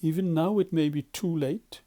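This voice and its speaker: A man voicing an excitable, boastful toad in a children's story, with a theatrical, exuberant manner. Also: fairly high pitch, normal speed, pitched above the performer's own voice, close to an everyday voice. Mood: feigned feebleness.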